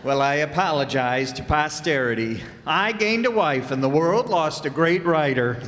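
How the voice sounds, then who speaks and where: An actor playing an exasperated but affectionate husband with dry teasing, in fifties radio comedy style. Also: male voice, a little high, lively intonation, normal speed, fairly bright tone. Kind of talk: 1950s radio sitcom acting. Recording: echoey hall, stage performance.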